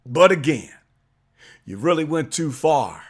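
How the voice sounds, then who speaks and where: A hoarse man hosting a sports vlog, arguing his point with emphatic, call-out energy. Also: fairly high pitch, lively intonation, unhurried. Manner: emphatic, preachy, calls people out. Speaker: channel host. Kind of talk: fired-up sports opinion vlog.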